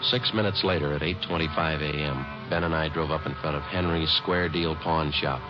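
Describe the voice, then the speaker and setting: A man's voice: a bass voice, fairly even intonation, normal speed. An American man playing a terse, matter-of-fact police detective sergeant who also narrates a 1950s radio drama. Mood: deadpan. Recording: muffled old radio recording with music.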